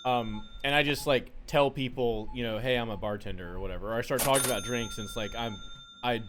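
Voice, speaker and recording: A young man in his twenties with a deep voice, a bartender chatting casually and telling rambling stories, swearing freely. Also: brisk, over music. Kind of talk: casual chat while playing a game.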